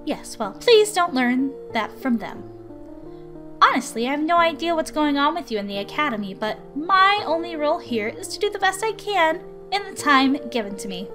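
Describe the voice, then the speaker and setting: Visual novel tutor: high-pitched, measured, courteous woman playing a scholarly young teacher, music underneath. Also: sing-song. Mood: exasperated, determined. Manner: polite, measured, earnest. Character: patient, formal woman researcher acting as substitute tutor. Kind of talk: visual novel character reading.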